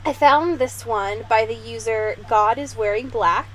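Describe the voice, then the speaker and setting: A young American woman co-hosting a podcast, chatting casually in an excitable, slangy, expressive way. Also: on the high side, measured pace, crowd noise. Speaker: podcast co-host. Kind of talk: casual chat.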